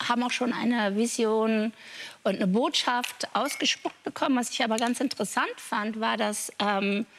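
A woman's voice: fairly high pitch, steady tone, moderate pace, a little hiss or hum. A woman talking casually in a team discussion, presenting ideas to colleagues.

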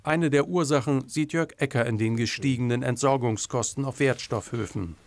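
A man's voice: mid-range voice, moderate pace, no room sound. A man reading scripted narration for a television news report. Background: background music.

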